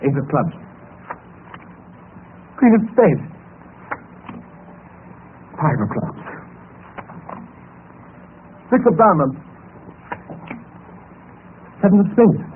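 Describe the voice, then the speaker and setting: An actor playing a terrified, highly strung gentleman gambling with death, with overwrought, drawn-out delivery in a 1950s radio drama. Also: sing-song. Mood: tense. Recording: tinny, noisy 1950s radio recording.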